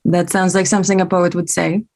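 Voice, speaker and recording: A woman's voice: lowish voice, level tone, fairly quick, darkish tone, lightly acted. Woman playing a friendly, curious French woman. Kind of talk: screenplay table read.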